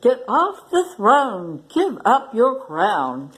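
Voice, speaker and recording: A woman's voice: fairly high pitch, wildly swooping pitch, drawn-out words, heavily acted. A woman voicing a chorus of rebellious storybook animals in an emphatic chant. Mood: demanding.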